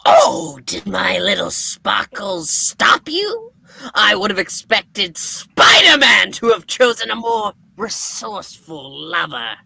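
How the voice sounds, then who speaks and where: A performer voicing a gloating male goblin supervillain with a raspy, creaky, theatrically menacing delivery in a comic book puppet reading. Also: medium pitch, big pitch swings, slow pace, sharp tone, no echo, exaggerated voice. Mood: mocking.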